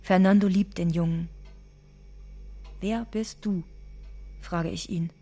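Guarded hurt immigrant mom, radio play monologue, low husky voice, plain repetitive lines. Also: female voice, steady tone, slow, dark tone. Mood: subdued, bitter. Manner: short, plain, repetitive sentences. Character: undocumented immigrant mother Anna, quietly guarded, hurt.

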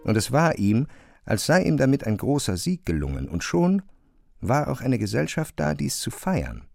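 A man narrating a literary radio drama from a script in a measured storytelling style. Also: medium pitch, wildly swooping pitch, vocal fry, music in the background.

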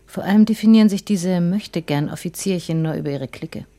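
An actress playing an opinionated, scornful wife of a police inspector in a radio drama, in a dark, mellow voice. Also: female voice, on the low side, fast talker, close to an everyday voice. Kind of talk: naturalistic radio drama acting.

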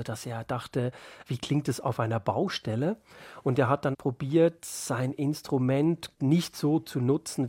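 A man with a mid-pitched voice talks as an interviewed author. He explains things thoughtfully, in a very dry recording. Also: darkish tone, no echo. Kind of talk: reflective interview talk.

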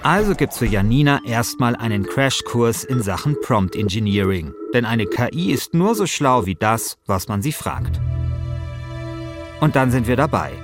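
A man's voice: medium pitch, expressive, moderate pace, music in the background. A man hosting a podcast in German, with a wry, playfully grandiose way of telling things. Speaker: podcast host. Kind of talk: scripted narration and casual conversation.

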